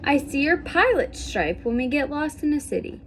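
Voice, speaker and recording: A woman reads as a loving mother zebra from a children's storybook. The voice is fairly high, speaking in a gentle, encouraging way to reassure a child. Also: very animated, moderate pace, slight background noise. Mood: reassuring.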